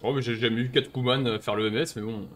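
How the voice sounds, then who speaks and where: A man's voice: mid-range voice, steady tone, fast, slight background noise. A young man streaming a role-play game on a headset, swearing and mocking other players in casual commentary.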